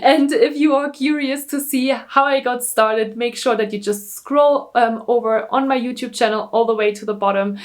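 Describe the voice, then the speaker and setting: A woman's voice: on the high side, steady tone, moderate pace, hardly any pauses. A young German woman whose first language is German, chatting upbeat to camera in her vlog with a German accent, repeating words for emphasis. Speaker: YouTuber. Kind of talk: casual talking-to-camera vlog. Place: indoors, seated in front of a camera.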